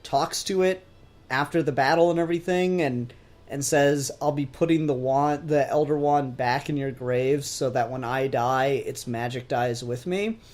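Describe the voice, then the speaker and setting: Informal, questioning podcast co-host, medium-pitched voice. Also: moderate pace, a little mellow. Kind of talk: casual fan discussion.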